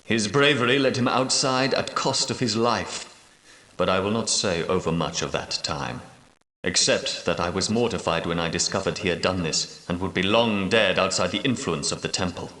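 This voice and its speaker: An actor playing a solemn, commanding medieval knight and prophetic leader, speaking in grave, formal, archaic oratory for a video game. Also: male voice, low voice, moderate pace, sharp tone. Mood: grave warning. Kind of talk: theatrical video game character dialogue. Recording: roomy echo, slightly distant from mic.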